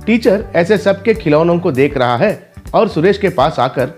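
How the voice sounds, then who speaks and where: Male narrator for children's animated tales, scripted storytelling over a light music bed. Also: high voice, normal speed.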